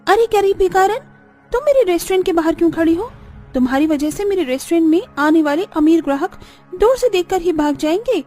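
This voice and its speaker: A woman's voice: very high-pitched, expressive, fairly quick, darkish tone. A woman voicing a haughty, rude restaurant owner in a cartoon story, scolding and scornful towards the poor. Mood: scornful, angry. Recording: background music under the voice.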